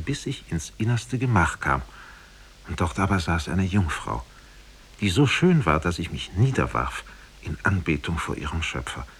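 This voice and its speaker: A man's voice: deep voice, a bit bright, slight background noise. An actor playing a learned former prince who recounts his adventures formally in a 1950s radio play. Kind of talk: 1950s German radio drama storytelling.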